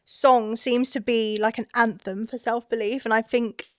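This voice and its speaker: A woman's voice: on the high side, fairly even intonation, normal speed, no echo, clean studio sound. A woman interviewer who asks questions and gives short friendly affirmations. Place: recording studio.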